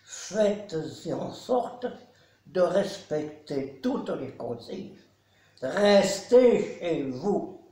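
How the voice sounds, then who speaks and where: A man in his nineties from Belgium, a care home resident, giving a solemn, measured spoken testimony. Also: high voice, big pitch swings, mellow. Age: 90s. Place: nursing home. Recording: echoey room.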